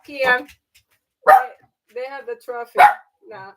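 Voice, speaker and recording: A woman's voice: sits high, very animated, takes it slowly, bright, forceful tone. A middle-aged woman, hosting a live stream in a rambling, chatty way with many fillers and restarts. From Panama. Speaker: livestream host. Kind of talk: casual chat.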